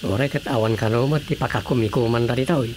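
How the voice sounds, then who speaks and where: A man's voice: mid-range voice, quick pace, darkish tone, a little hiss or hum, natural voice. An actor playing a good-natured Filipino family man in a radio drama, with an Ilocano accent. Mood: matter-of-fact.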